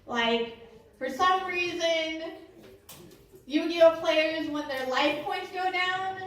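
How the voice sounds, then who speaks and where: A woman comedian in her early thirties performs live stand-up in a high-pitched voice. She talks conversationally, pokes fun at herself and acts out little exchanges. The recording is heavily echoing and sounds well away from the microphone. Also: takes it slowly, a bit bright, recorded from a distance. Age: early 30s. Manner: conversational, self-deprecating, acts out short dialogues.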